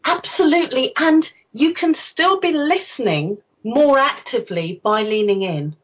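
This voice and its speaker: A woman's voice: high-pitched, animated, unhurried, husky, lo-fi sound. A middle-aged British woman speaking as an interviewed voice coach, explaining conversationally and checking that she is understood. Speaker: guest voice coach, former opera singer.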